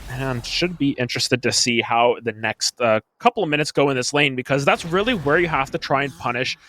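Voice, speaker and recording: A man giving live play-by-play commentary on an esports match, animated, with frequent filler pauses. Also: mid-range voice.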